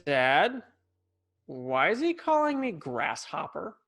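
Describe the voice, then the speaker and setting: A man's voice: a little high, slow, exaggerated voice. An actor reading an eager young boy who wants to learn kung fu, at a comedic table read. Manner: eager, excitable kid delivery.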